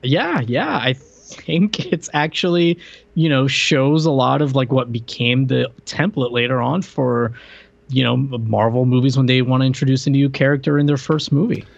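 A man's voice: medium pitch, moderate pace, gravelly, no room sound. Man on slightly muffled podcast audio. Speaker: podcast co-host. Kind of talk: casual film review chat.

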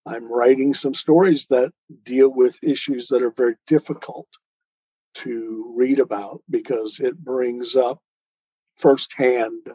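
A man speaking as an interviewed author in an earnest, measured, deliberate and reflective way. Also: mid-range voice, dark tone, husky, no echo, highs cut off.

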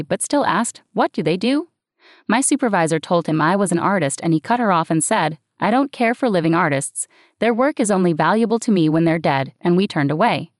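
A woman's voice: on the low side, dry recording, clean studio sound. A woman, an artist, giving a prepared first-person account of her own experience. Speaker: artist telling a personal story.

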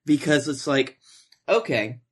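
A man's voice: fairly high pitch, animated, a little slow, breathy edge. A young man co-hosting a podcast, telling casual comic stories with wry mock-seriousness and some swearing. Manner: wry mock-seriousness, sweary, acts out his own thoughts. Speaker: podcast co-host. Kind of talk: casual comedic storytelling chat.

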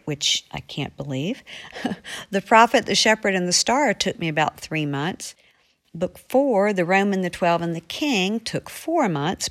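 A woman, an author, chatting conversationally as she answers readers' questions. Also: lowish voice. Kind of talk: conversational monologue.

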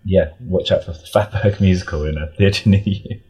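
A man with a very deep voice, dark and husky in tone. He talks casually as a guest in an interview. His explanations wander a little, with hesitant phrasing. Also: normal speed, muffled recording. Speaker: audio drama creator and sound editor, interview guest.

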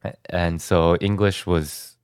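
A young American man telling his life story as a podcast guest, talking in a relaxed, rambling way with many restarts. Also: low voice, slow pace, clear, resonant tone, dry recording, studio quality. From United States. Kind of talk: casual conversation.

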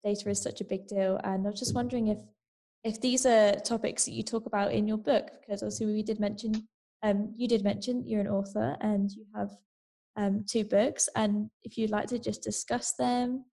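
Gravelly-voiced woman podcast host. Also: mid-range voice, fairly even intonation, moderate pace, dark tone. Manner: casual, with fillers like "like" and "um". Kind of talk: interview questions.

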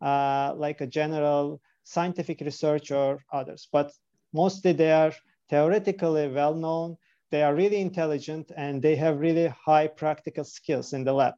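Turkish-accented man, soft dull call audio. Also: fairly high pitch. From Turkey. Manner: earnest, searching for words in non-native English. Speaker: embryologist and clinic director. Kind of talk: remote interview.

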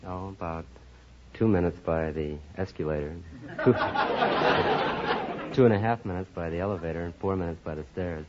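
A man's voice: low-pitched, moderate pace, pauses often. A twenty-five-year-old man answering questions as a contestant on a radio quiz show. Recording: muffled old radio recording, faint noise and audience. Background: live studio audience.

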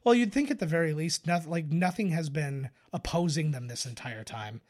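Slightly high-voiced man explaining trivia. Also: normal speed, darkish tone. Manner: explanatory, trivia-laden, sweary. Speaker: podcast co-host. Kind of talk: casual movie commentary chat.

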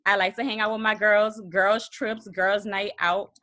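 A woman's voice: medium pitch, level tone, measured pace, pushed and bright, no room sound, studio quality. A woman in her thirties introducing herself as a podcast co-host, upbeat and laughing. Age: 30s. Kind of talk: casual self-introduction.

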